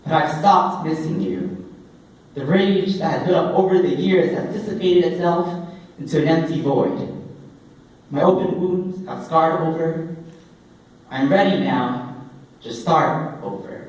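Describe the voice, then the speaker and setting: Echoey, high-voiced young man performing spoken word. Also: expressive, a little slow, pauses often. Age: young adult. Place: indoor open mic coffee house event. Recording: echoey live room, distant microphone.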